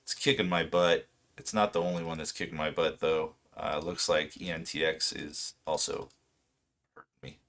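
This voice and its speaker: Low-pitched, gravelly man in his late thirties. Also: fairly even intonation, a bit bright. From Poland until age seven, then southern California. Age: late 30s. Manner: informal, self-deprecating, halting and rambling. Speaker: livestream host, amateur retail trader. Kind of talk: casual unscripted livestream chat.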